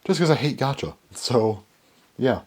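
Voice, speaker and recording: A hoarse young man rambling through a casual video update from his bedroom, full of fillers and restarts. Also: medium pitch, lively intonation, measured pace, darkish tone. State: hoarse, voice worn down. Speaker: toy collector vlogger. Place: small bedroom.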